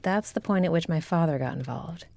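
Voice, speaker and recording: A woman playing a shrewd, composed shifter royal in a fantasy audio roleplay, with a formal, measured manner. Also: sits low, vocal fry, a little mellow. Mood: grave, probing.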